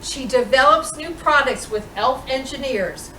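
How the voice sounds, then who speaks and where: A woman reading a children's picture book aloud in an expressive, deliberate storytelling manner with dramatic pauses. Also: sits high. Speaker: storytime reader playing Mrs. Claus. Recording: roomy, distant microphone with clear background noise.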